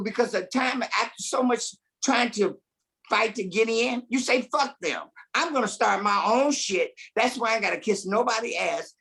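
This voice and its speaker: A man's voice: sits high, gravelly, fairly bright tone, slightly rough. A man speaking bluntly and emphatically on a conference panel, swearing freely. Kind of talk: panel discussion.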